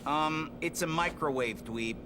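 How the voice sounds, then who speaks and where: A man's voice: fairly high pitch, a little slow, background noise, close to an everyday voice. A man voicing a hot-headed, sarcastic teenage turtle brawler in a video game, brash and slangy. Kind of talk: video game cutscene acting.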